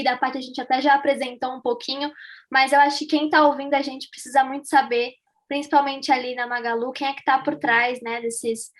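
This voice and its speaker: A woman's voice: sits high, fairly bright tone. A Brazilian woman co-hosting a podcast in a casual, conversational style. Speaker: podcast co-host.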